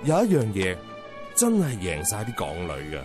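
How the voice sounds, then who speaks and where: An actor playing a smug, blunt suitor who lectures pompously in Hong Kong Cantonese in a radio drama. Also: male voice, on the low side, wildly swooping pitch, normal speed, over music. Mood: smug, preachy. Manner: pompous, preachy.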